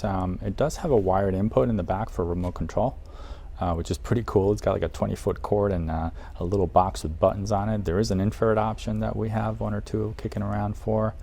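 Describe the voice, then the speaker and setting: Man, shop presenter, dark relaxed tone, creaky fry, informal conversational product guide, enthusiastic about gear. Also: low voice. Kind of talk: casual product guide to camera. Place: audio shop, indoors. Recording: noticeable background noise, room ambience.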